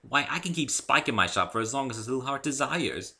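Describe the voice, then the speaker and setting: A refined lady unicorn, acted out by a male reader gushes and gossips in a story. The voice is pitched up, swooping and bright, with posh, dramatic airs and lingering emphasis on choice words. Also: animated, brisk, gravelly, heavily acted. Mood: regretful. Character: refined, theatrical female unicorn fashion designer, gushing and gossipy.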